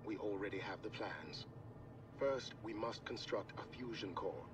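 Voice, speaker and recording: An actor playing a calm, careful military science advisor with a measured, precise delivery in a video game. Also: male voice, fairly low pitch, recorded from a distance, noisy background, close to an everyday voice. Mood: calm, concerned. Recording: loud game music and effects behind.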